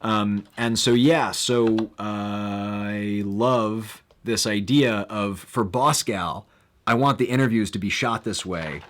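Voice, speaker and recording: A young man hosting a casual live stream, rambling with lots of fillers and bursts of excitement. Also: fairly low pitch.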